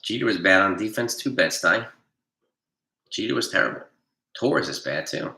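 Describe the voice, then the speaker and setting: A man hosting a casual livestream, reading viewer comments and answering them bluntly, nasal from allergies and a cold and often clearing his throat. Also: low-pitched, animated, normal speed, pushed and bright, roomy sound. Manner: blunt, argumentative, defends his opinions. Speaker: livestream host.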